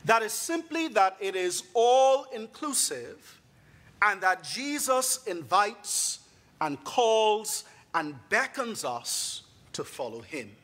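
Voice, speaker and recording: A man's voice: high voice, very animated, slow pace, fairly bright tone. A man in his thirties with a Bahamian accent, a guest priest preaching a sermon in a church sanctuary into a pulpit mic, genial and rising to emphatic delivery.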